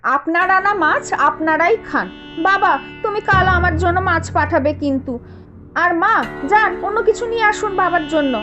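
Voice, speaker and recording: A woman voicing a spoiled, sharp-tongued young daughter-in-law from a rich family in a cartoon, scornful, complaining and demanding. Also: very high voice, normal speed. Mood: indignant. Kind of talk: Bengali cartoon voice acting. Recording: dull lo-fi audio with music behind.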